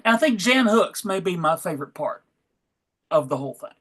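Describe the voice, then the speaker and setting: A woman's voice: fairly low pitch, expressive, fairly quick, fairly bright tone. A woman presenting at a table read, chatting casually and unscripted to an audience.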